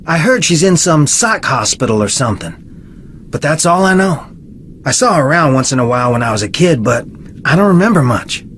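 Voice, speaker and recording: A man's voice: fairly high pitch, very animated, fairly quick, fairly bright tone, a little hiss or hum. An actor playing a conflicted, defensive young man in a video game, earnest and guarded. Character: conflicted, defensive young fiancé of a missing woman.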